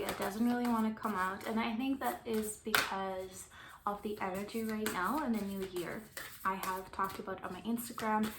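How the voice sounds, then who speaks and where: A woman with a light German accent talks through an oracle card reading in a loose, meandering manner. She slips into soft whispers now and then. Her voice sits in a roomy, echoey space with a faint hiss underneath. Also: medium pitch. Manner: meandering and gentle, often trailing off into soft whispers. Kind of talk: casual spiritual card-reading vlog. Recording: roomy with faint background noise.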